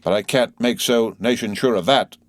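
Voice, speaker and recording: A man voicing a gruff, suspicious armed coach guard in a storybook, with a barking, threatening manner. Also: mid-range voice, normal speed, dry recording, clean studio sound, voice pushed up, close to an everyday voice.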